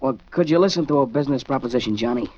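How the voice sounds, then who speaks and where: A man's voice: medium pitch, lively intonation, racing, a little mellow, close to an everyday voice. An actor playing a brash, eager street-gang boy in a 1940s radio drama, talking in streetwise slang. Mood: eager, respectful. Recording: muffled, noisy 1940s radio recording.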